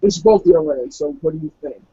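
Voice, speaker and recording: A man's voice: on the high side, wildly swooping pitch, fairly quick, vocal fry, mellow, husky, muffled recording. A man talking casually as a player in a tabletop roleplaying game.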